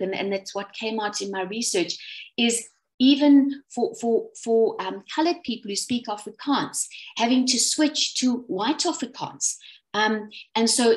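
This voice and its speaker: A woman from South Africa, speaking in a relaxed, conversational way over a video call, with many restarts and ums. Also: fairly high pitch, poor audio. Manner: self-deprecating, rambling with many restarts and ums. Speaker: webinar presenter. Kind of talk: casual conversational talk.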